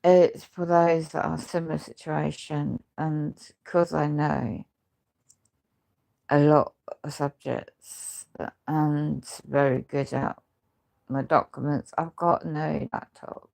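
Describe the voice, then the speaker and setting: A woman speaks in a very low, crackly voice, thick with a chest infection. Her delivery is slow and halting, short of breath, as if talking is an effort. She rambles through an aggrieved personal account in broken phrases. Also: little pitch movement, vocal fry, darkish tone. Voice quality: crackly, deep voice. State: ill with a lung infection, breathless, struggling to speak. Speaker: housing tenant speaking out. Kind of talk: rambling personal video testimony.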